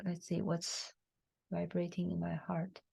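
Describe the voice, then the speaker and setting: A woman from China whose first language is Chinese, hosting a reflective conversation, speaking slowly and haltingly with many fillers. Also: on the low side, completely flat delivery, vocal fry, husky. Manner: slow, halting, soft-spoken with frequent fillers and laughs. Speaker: host.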